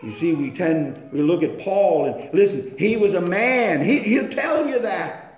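Male preacher, slow and deliberate, slightly high voice, impassioned, echoey room with faint hiss. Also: lively intonation. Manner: impassioned, swings from hushed to loud. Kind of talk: sermon.

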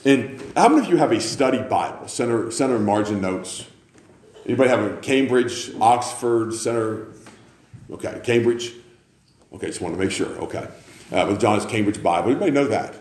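Animated preacher in a roomy church. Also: male voice, lowish voice, moderate pace, a little hiss or hum. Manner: conversational, self-mocking, teasing. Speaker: pastor. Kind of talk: sermon with joking asides. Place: church sanctuary with congregation. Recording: roomy hall with faint ambience.

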